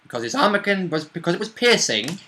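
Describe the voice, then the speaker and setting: A man with a bright, clear-cut voice chats as a player in a tabletop roleplaying group. He is agreeable and conversational, chiming in with brief confirmations and added detail. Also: high-pitched, very animated, fast. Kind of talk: casual chat recapping a tabletop roleplaying game.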